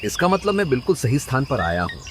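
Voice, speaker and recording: A man's voice: mid-range voice, very animated, fast, noisy background, lightly acted. A man voicing a haughty young prince in a cartoon story, with a formal, imperious manner. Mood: commanding.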